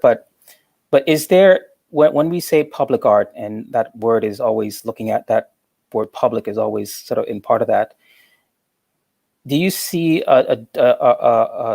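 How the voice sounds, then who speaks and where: A man's voice: medium pitch, gravelly, a little mellow, no room sound. A man interviewing an artist, asking long, hesitant questions full of fillers and restarts. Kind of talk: interview conversation.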